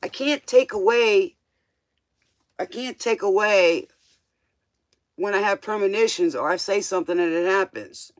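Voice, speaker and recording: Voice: medium pitch, measured pace, long pauses, no room sound. A livestream tarot host chatting casually in a rambling, informal way, drifting between thoughts and tossing out questioning asides.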